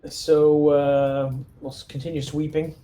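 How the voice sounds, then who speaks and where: Mellow-voiced man playing a tabletop game. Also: on the high side, level tone, measured pace. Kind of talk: casual in-character game chat.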